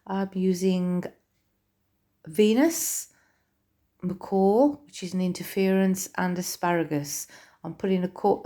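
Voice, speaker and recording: Slow, croaky woman. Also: lowish voice. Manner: relaxed, unhurried, with fillers and restarts. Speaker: fluid art vlogger. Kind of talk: casual voice-over explaining a painting.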